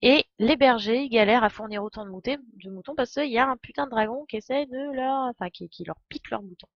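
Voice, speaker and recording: A woman's voice: a little high, fast, dry recording, highs cut off. A woman giving casual, chatty, jokey live commentary as a game streamer.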